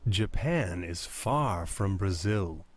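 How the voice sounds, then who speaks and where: Slow, careful American man reading lessons. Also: low voice, animated. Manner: careful, measured delivery of short phrases. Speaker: English lesson reader. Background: plucked string music.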